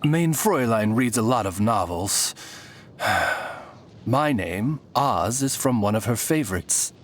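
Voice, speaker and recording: A man's voice: medium pitch, animated, measured pace, a little hiss or hum, natural voice. Man playing Oz, a courtly, dry bird familiar. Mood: dry, courteous. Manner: formal, polite, dry butler-like delivery. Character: courtly male talking bird familiar, dryly loyal servant. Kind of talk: scripted video game character voice lines.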